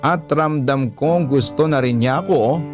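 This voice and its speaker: A man narrating a listener's letter in steady, earnest first-person storytelling for a radio drama. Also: fairly high pitch, a little slow, over music.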